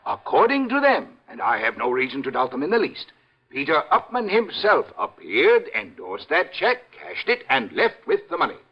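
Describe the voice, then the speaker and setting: A dull, old radio recording of a pompous American man in his sixties. His husky voice rises and falls dramatically. He is fussy and self-important, every phrase weighted like an official pronouncement. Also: fairly high pitch, wildly swooping pitch, full character voice. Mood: grave, pompous. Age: 60s. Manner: pompous, fussy, deliberate emphasis. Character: pompous, self-important short stout insurance executive around sixty. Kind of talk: 1950s radio drama acting.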